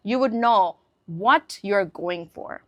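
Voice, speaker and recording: A woman's voice: medium pitch, slow, fairly bright tone, dry recording, highs cut off. Woman teaching English, casual and dry. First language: Hindi. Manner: conversational, checks in with listeners often. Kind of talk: casual spoken lesson to camera.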